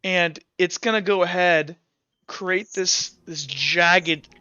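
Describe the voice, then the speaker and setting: A man improvising narration as a tabletop game master, halting and casual, swearing freely as he goes. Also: high-pitched, sharp tone, clear, resonant tone, no echo, lo-fi sound.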